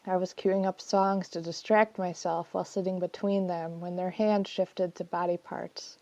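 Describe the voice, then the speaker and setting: A woman's voice: lowish voice, flat delivery, moderate pace, dark tone, no room sound, muffled recording. A woman reading her own personal essay as scripted first-person narration.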